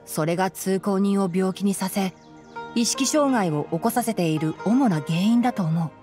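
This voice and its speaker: Woman voicing a calm young male scholar. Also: medium pitch, soft background music, lightly acted. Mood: thoughtful. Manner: measured, explanatory, soft-spoken. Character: gentle young male forest ranger scholar, calm and analytical. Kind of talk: Japanese anime game voice acting.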